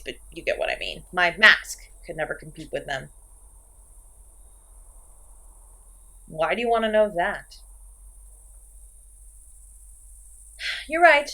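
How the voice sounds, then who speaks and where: Woman acting a high, theatrical slasher villainess. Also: fairly bright tone. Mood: dejected. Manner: theatrical, sarcastic, scolding. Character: theatrical, exasperated, easily ranting masked slasher killer woman. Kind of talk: audio roleplay horror-comedy acting.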